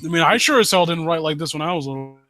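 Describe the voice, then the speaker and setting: A man's voice: a little high, animated, racing, noisy background. A man hosting a casual live critique, talking off the cuff in a blunt, sweary manner. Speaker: host of a live story critique show.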